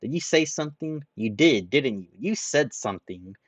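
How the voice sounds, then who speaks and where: A man reading a story aloud, voicing a loud, boastful young man who picks fights and brags. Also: mid-range voice, animated, dry recording, pitched above the performer's own voice, natural voice. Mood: brash, indignant. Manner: shouting, bragging, quick to pick fights.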